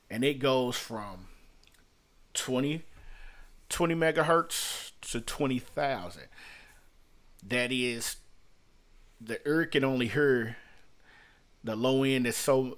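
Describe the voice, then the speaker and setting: A middle-aged man teaching an unscripted software tutorial as a music producer, conversational and repeating his points for emphasis. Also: mid-range voice. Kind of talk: informal screen-share tutorial, unscripted. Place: small room at home.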